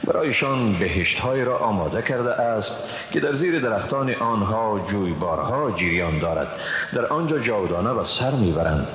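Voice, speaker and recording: A man with an Afghan Dari accent reading a scripture translation in a formal, solemn, measured way. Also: fairly low pitch, expressive, a bit bright. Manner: solemn, measured, careful phrasing. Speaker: translation reader. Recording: long reverb, dull, faint noise.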